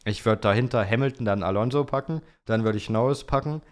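A man's voice: mid-range voice, fast talker. A young man co-hosting a podcast, chatting casually and answering listener questions in informal youth slang. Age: young adult. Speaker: podcast host.

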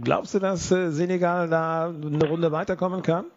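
A man's voice: fairly high pitch, steady tone, moderate pace, muffled recording. A man hosting a football podcast, asking long, flowing questions in casual talk. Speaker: podcast host.

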